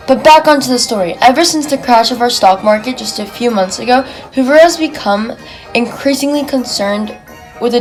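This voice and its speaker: Highish young woman on old-time radio. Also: low-quality recording. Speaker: radio presenter. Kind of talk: scripted 1920s radio broadcast with period slang.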